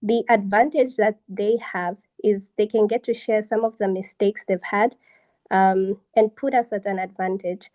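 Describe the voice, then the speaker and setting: A young woman moderating a conversational panel, speaking in a measured way with hesitant pauses. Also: medium pitch, fairly even intonation, dark tone. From Zambia.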